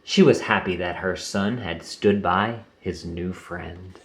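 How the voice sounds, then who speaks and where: A man reading a children's storybook aloud in an expressive, unhurried way. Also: low voice, noisy background. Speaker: storybook reader.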